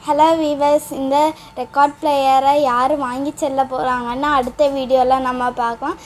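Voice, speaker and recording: A woman's voice: sits high, level tone, normal speed, slight background noise. A female presenter with an Indian Tamil accent, announcing in a vlog style.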